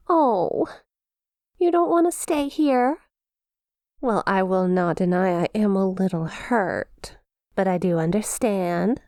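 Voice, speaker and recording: A woman's voice: medium pitch, a little mellow, dry recording, studio quality, close to an everyday voice. A woman playing a perky, smug demon imp in an ASMR roleplay, teasing with playful mock sympathy and a possessive streak. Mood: coaxing. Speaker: audio roleplay performer.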